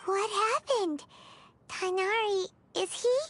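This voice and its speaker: Extremely high, squeaky little companion. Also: female voice, takes it slowly, fairly bright tone, exaggerated voice. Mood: concerned. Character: tiny childlike female companion, squeaky and inquisitive. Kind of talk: English game voice acting.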